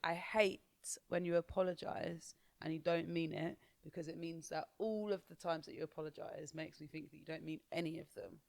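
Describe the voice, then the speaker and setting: Deep-voiced young woman, gravelly. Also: steady tone, a little fast, hardly any pauses, no echo. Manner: firm, pushes back, laughs easily. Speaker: podcast co-host. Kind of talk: casual chat.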